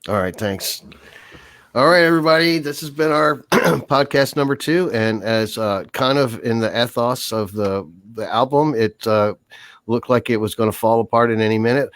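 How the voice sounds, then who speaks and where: An older man hosting a live podcast, with an easygoing, rambling way of talking full of fillers, his voice a little hoarse. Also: medium pitch, normal speed, fairly bright tone, dry recording. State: hoarse, voice giving out. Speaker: podcast host. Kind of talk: casual live podcast chat.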